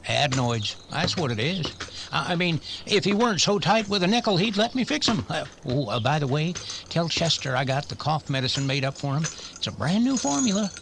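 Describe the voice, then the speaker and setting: A fussy old frontier doctor, wry and gossipy. His highish, creaky voice stammers and swoops up and down in radio western style, on a noisy track. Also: sing-song, brisk. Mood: chatty, wry. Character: folksy, fussy, chatty old male town doctor. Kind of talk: old-time radio western drama acting.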